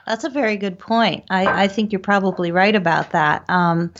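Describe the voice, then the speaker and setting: A woman's voice: medium pitch, moderate pace, noisy background. A woman speaking as an interview guest, recounting her experiences in a conversational storytelling style. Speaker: guest, paranormal researcher and medium. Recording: dull, lo-fi call audio.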